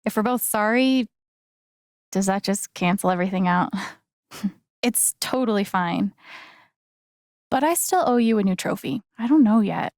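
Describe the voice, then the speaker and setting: A woman playing a sincere, gently wry young game heroine, with an American accent and an understated, conversational delivery. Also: mid-range voice, lively intonation, measured pace, long pauses, no echo, clean studio sound. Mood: gentle, concerned, then determined. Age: young adult. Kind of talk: naturalistic video game voice acting.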